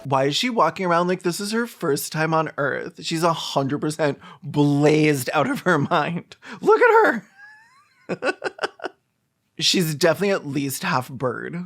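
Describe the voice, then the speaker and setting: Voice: mid-range voice, very animated, unhurried, fairly bright tone. Snarky commentator with mid-range voice, music behind. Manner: snarky, mocking, exaggerated, profane. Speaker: video essayist commentator. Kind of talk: sarcastic reaction commentary.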